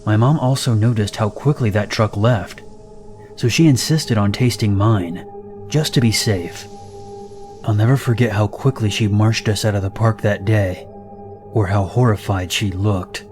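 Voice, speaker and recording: Horror narrator, male, lowish voice, steady delivery, music bed under the voice. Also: unhurried. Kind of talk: scripted narration of reader-submitted stories. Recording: narration over loud background music.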